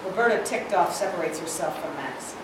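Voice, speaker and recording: A woman's voice: on the low side, animated, brisk. A woman reading scripted stage directions aloud at a live screenplay reading. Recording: echoey hall, distant mic, noisy.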